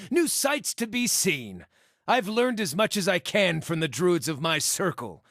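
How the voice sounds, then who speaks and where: A man's voice: high voice, fairly bright tone, no echo, studio quality, full character voice. A man voicing a witty, cheeky male wandering druid hunter in a fantasy video game, with a wry, theatrical way of talking. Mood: jaunty, friendly. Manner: wry, theatrical, colloquial yet florid. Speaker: video game companion voice actor. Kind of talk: expressive scripted fantasy game dialogue.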